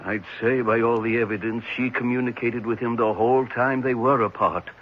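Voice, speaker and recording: A man playing a thoughtful, assured psychiatrist in a 1970s radio drama, explaining things in a measured, reflective way with some hesitation. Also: medium pitch, steady tone, close to an everyday voice. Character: thoughtful, assured male psychiatrist at a private clinic. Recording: muffled, noisy 1970s radio recording.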